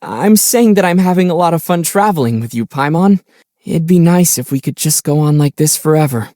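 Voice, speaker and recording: A man voicing a calm young adventurer in a video game, easygoing and measured, with a gently wry, teasing manner. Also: on the high side, no echo, clean studio sound, close to an everyday voice. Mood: warm, calm. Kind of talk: video game character dialogue.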